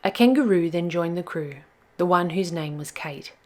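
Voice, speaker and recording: A woman's voice: lowish voice, expressive, moderate pace. A woman storyteller reading a rhyming children's picture book aloud with expression.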